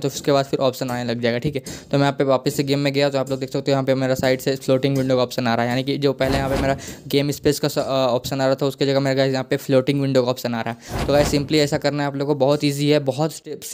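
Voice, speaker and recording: A man's voice: mid-range voice, background noise. A man with an Indian accent hosting a casual gaming tutorial, repetitive and addressing viewers directly. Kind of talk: casual screen-recorded tutorial.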